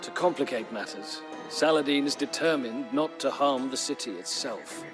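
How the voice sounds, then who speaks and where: An actor playing a weary, middle-aged former crusader knight who narrates a game's war chronicle in a solemn, measured, dramatic storytelling manner. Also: male voice, medium pitch, lightly acted. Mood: grave, reflective. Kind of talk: dramatic scripted first-person narration. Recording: music under the voice. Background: music.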